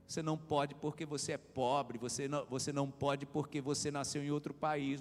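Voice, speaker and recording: Preaching pastor in a reverberant hall. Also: male voice, a little high, a little mellow. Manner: preaching with swelling emphasis and repeated refrains. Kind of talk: sermon. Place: church hall.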